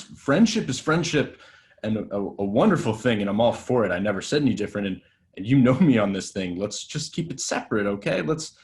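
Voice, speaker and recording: A man's voice: on the low side, darkish tone, natural voice. A man reading a nervous young actor posing as a gambler at a table read, stammering and rambling when scared.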